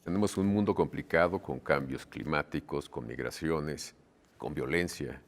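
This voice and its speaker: Un hombre mexicano conductor de un programa de televisión, entrevistando con calma y asintiendo brevemente. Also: male voice, rumbling low, moderate pace. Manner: calm, brief affirming interjections. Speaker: TV show host. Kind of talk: television interview.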